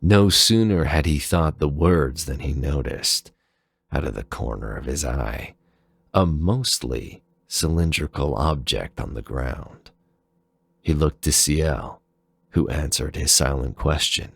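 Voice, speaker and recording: Male narrator for dramatic fan fiction: creaky, close dry sound, deliberate and measured. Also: very deep voice, lively intonation, no echo.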